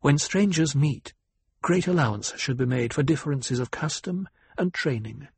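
A man voicing a poised young noble lady who talks in a measured, sweetly diplomatic way. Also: on the high side, expressive, darkish tone, husky, no echo, pitched above the performer's own voice.

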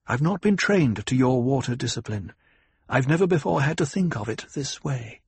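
Man doing a composed noblewoman, raised pitch, husky, dry close sound, audiobook. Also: lively intonation, normal speed, no room sound, exaggerated voice. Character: composed noblewoman, calm and proud.

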